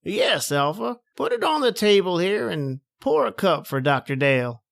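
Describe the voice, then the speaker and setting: A man acts a proud, avuncular old inventor in an old-time radio play. The voice is high and gravelly, with theatrical, sweeping intonation. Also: very animated, normal speed, full character voice. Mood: proud, expansive.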